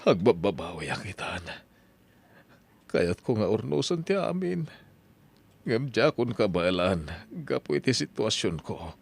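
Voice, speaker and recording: A man's voice: lowish voice, sing-song, measured pace, gravelly, pauses often, heavily acted. A man playing a proud, regretful father with a heavy, brooding manner in an Ilocano drama. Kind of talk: Ilocano radio-style drama acting.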